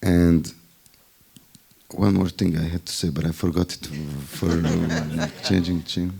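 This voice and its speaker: A man's voice: low-pitched, flat delivery, slow pace, creaky, a crowd in the background. A man with a Bosnian accent sharing wry, ironic remarks in a group discussion, sometimes searching for words. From Bosnia and Herzegovina. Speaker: festival workshop participant.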